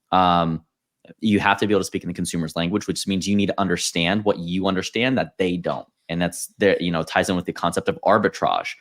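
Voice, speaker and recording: Very deep-voiced man hosting a podcast. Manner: measured, explanatory, steering the talk. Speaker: podcast host. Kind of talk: casual podcast discussion.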